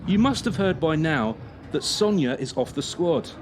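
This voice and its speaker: Man playing a clipped, higher-voiced gymnastics coach. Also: lively intonation, normal speed, slight background noise, natural voice. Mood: grave, businesslike. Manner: clipped, authoritative. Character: stern male gymnastics team coach delivering grave news. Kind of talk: radio play acting.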